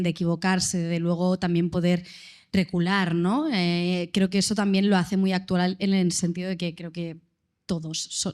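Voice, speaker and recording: A woman's voice: fairly low pitch, a little mellow. A woman speaking on a panel at a public event, answering thoughtfully and searching for words, into a handheld microphone. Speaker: actress.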